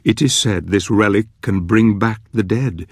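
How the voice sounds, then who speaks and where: A grave old knight narrates a dark fantasy quest. His voice is low and close, with very little room around it, and he speaks in solemn, theatrical phrases. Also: unhurried, dry recording. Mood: grave, portentous. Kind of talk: dramatic scripted fantasy narration.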